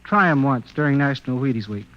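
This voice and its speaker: A man's voice: mid-range voice, expressive, fast talker. A man with an American accent giving a folksy scripted testimonial on old radio. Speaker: musician endorsing a product. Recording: dull vintage radio recording.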